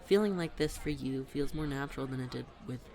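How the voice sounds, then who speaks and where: A man playing a smitten young best friend in a roleplay audio, talking to the listener in a halting, playful, nervous way. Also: medium pitch, a little mellow, natural voice. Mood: tender, confessing. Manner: halting, giggly, playful, addressing the listener directly. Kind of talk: scripted roleplay audio, intimate conversational acting. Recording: public place with crowd murmur.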